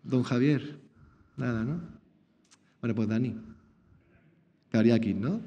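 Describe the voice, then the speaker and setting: A middle-aged man hosts a live chess talk from a table mic, chatty and full of repeated words and tag questions. His voice is very mellow and dark. The sound is dull and carries a long reverb from the small lecture hall. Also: mid-range voice, slow pace. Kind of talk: live public interview.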